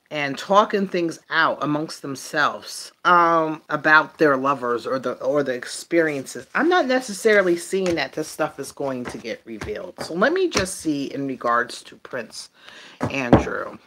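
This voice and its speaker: A woman doing a casual, improvised tarot reading for viewers, thinking aloud in a halting way and trailing off mid-phrase. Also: low voice, expressive, fairly bright tone. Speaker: tarot reader.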